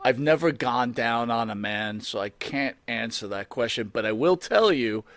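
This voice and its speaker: A man's voice: mid-range voice, expressive, normal speed, a bit bright, no echo. A man hosting an interview, asking joking, probing questions with hesitant fillers. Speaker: podcast host. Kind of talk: casual interview chat.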